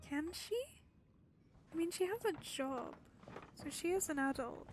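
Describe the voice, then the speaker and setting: A woman's voice: high voice, lively intonation, measured pace, soft background music. A woman giving casual gameplay commentary and reading out dialogue. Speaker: game streamer.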